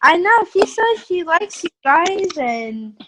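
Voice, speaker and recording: A young woman in her early twenties with a high voice chats casually and self-deprecatingly. She is on an online group call, with clear noise and clicks in the recording. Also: sing-song, takes it slowly. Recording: noisy video call, clicks.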